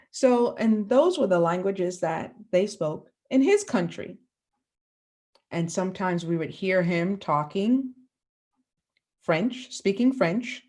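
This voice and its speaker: Slow-spoken Bahamian-born woman teacher. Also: mid-range voice, a little mellow. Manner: slow, questioning, pauses for class answers. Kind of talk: classroom lesson to children.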